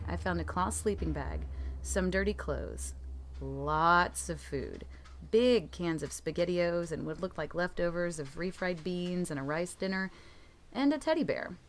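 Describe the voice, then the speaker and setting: A woman reading creepy first-person stories aloud as a narrator, in scripted storytelling style. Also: on the low side. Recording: clearly noisy background.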